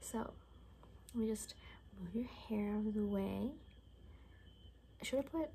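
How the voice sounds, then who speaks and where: Soft whispering young woman, mellow ASMR. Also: mid-range voice, takes it slowly, a little hiss or hum. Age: young adult. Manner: casual, rambling, chatty. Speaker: ASMR creator playing an attendant. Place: small bedroom indoors.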